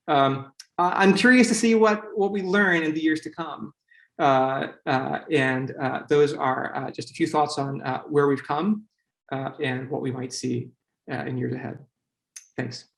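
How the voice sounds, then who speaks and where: A man delivers an academic panel talk in a slightly raised voice. His phrases come haltingly, broken up by frequent fillers. The sound carries a noticeable room echo. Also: fairly high pitch, steady tone, vocal fry.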